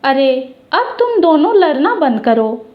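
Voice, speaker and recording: A woman's voice: high-pitched, big pitch swings, takes it slowly, lo-fi sound, exaggerated voice. A woman voicing a caring, easily exasperated mother in a story, scolding and fond.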